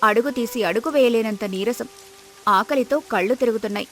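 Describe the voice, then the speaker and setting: High-pitched woman storyteller. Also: brisk. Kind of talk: scripted moral story narration.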